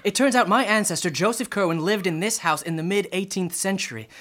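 A man's voice: high-pitched, brisk, a bit bright. A man acting as an eager, polite young researcher in a radio drama, hesitant and stammering as he goes. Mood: nervous, polite. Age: young adult. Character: eager, polite young male amateur genealogist, obsessed with his ancestor. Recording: music behind the voice.

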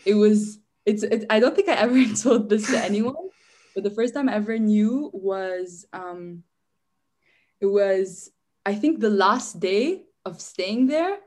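A woman's voice: mid-range voice, a little slow, darkish tone. A young woman from Syria, a guest in a casual interview, chatty and rambling with lots of "like" and frequent restarts. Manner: chatty, restarts often, says "like" a lot.

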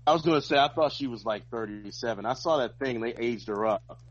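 A man's voice: medium pitch, brisk. American man chatting, slightly dull lo-fi audio. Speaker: comedian, podcast guest. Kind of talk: casual conversational chat. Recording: dull, lo-fi remote-sounding audio.

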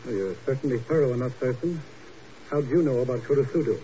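Man acting a suave, mellow-voiced radio doctor. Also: medium pitch, animated, lightly acted. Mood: smooth, guarded. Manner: formal, measured, urbane. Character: suave, guarded hospital doctor, courteous and slightly patronizing. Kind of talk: 1950s radio drama acting. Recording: muffled, noisy old radio recording.

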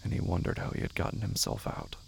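A man giving a scripted, dramatic narration of a horror story in a deliberate, intense manner. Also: slight background noise. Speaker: narrator of a horror fiction podcast.

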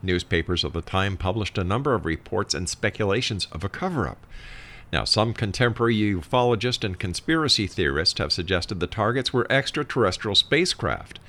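Low-voiced man hosting a radio show. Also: expressive, a little fast, fairly bright tone. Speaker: radio talk show host. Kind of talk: radio presenting, announcing and reading notes.

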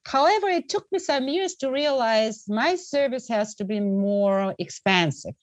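A woman's voice: on the high side, animated, a little slow, no room sound. A middle-aged woman from Bulgaria, a podcast guest telling her story in a reflective, earnest way and often restarting her words. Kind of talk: conversational storytelling.

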